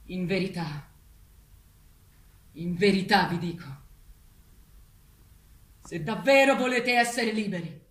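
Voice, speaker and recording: Echoey, slow, preaching animal revolutionary leader played by a woman. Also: mid-range voice, lightly acted. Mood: solemn, self-sacrificing. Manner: solemn, preaching, declamatory, with long pauses. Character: female farm animal, solemn manipulative revolutionary leader turned master. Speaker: stage actress. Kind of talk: theatrical stage monologue, political oratory. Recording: echoey stage, distant mic, faint noise.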